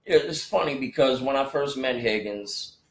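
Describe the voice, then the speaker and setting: A man's voice: medium pitch, lively intonation, measured pace, slight background noise. Rambling man in his forties, echoey room. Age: 40s. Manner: rambling, many restarts and "you know". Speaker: podcast co-host, rapper. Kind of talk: casual chat.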